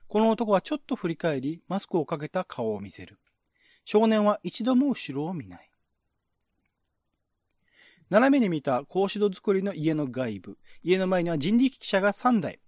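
Higher-voiced man narrating, dry sound. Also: darkish tone, no room sound. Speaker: narrator, reader. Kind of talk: scripted narration, radio-drama style literary reading.

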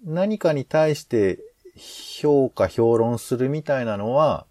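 A man's voice: medium pitch, animated, slow pace, warm tone, no echo. A man co-hosting a podcast in casual discussion, speaking tentatively and circling around his points. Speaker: podcast co-host.

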